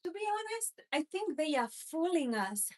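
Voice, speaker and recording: Young Kenyan woman, high voice, livestream host, scornful and amused. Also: sing-song, moderate pace. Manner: mocking, laughs often, answers chat. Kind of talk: casual political livestream chat.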